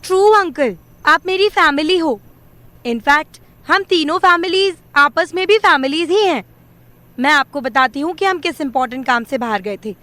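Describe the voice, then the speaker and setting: A woman's voice: sits high, animated, moderate pace, bright, forceful tone, no room sound. A woman acting a bold, glib young woman with an Indian accent in an audio drama, confident and cheeky. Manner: confident, cheeky, mixes in English phrases.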